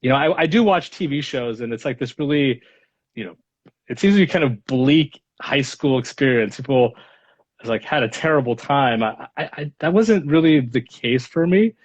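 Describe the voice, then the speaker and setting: Man in his 30s, Spanish his first language, thoughtful and hedging guest, mid pitch, dull audio. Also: lively intonation, normal speed. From Los Angeles. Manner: reflective, hedging with many fillers. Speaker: guest speaker, lawyer. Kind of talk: casual interview answers.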